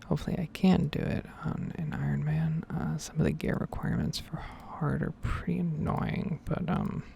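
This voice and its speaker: Soft whispering woman with heavy vocal fry. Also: sits low, steady tone. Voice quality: breathy, whispered to soft-spoken. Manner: rambling, unhurried musing. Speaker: gaming ASMR video creator. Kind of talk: ASMR game commentary, whispered and soft-spoken rambling.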